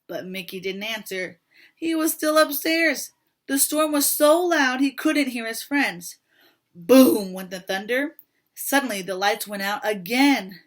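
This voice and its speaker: A woman with a high voice reads a children's story aloud. She is expressive and breaks off to chat with her young listeners and ask them questions. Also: unhurried.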